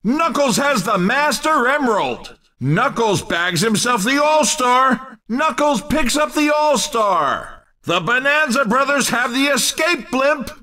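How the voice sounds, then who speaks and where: A hammy, cheeky arcade racing commentator, bursting with excitement. His voice is extremely high and swoops widely, with a bright, forceful edge. The lines come as short, exclamatory video game announcements. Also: takes it slowly, recorded from a distance, exaggerated voice. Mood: excited.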